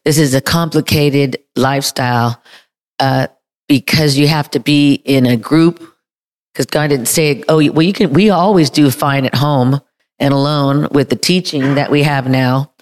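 A woman with a deep voice sharing candidly in a church group, emotional and halting, with many fillers. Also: fairly even intonation, darkish tone. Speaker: group member.

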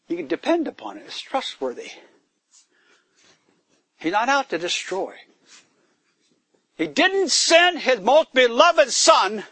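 Man, preacher, sermon, high voice, dry close sound, emphatic questions to the listeners. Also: bright, forceful tone, dry recording. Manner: rising to emphatic high bursts, asking the congregation questions. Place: church gathering in the woods.

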